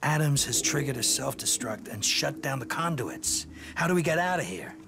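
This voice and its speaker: A determined soldier hero speaks in a mid-pitched, gravelly voice, short and resolute. Loud game music plays underneath. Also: male voice, expressive, moderate pace. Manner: terse, resolute.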